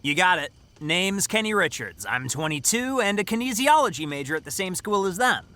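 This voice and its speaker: Someone playing a bright, laid-back college guy. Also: sits high, sing-song, a little fast, heavily acted. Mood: flirty, cheerful. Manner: easygoing, teasing. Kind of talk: audio drama acting.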